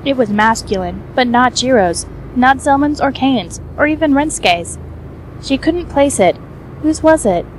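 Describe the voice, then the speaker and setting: A woman's voice: high-pitched, expressive, a little slow, slight background noise, poor audio. A woman reading scripted fan fiction as the narrator.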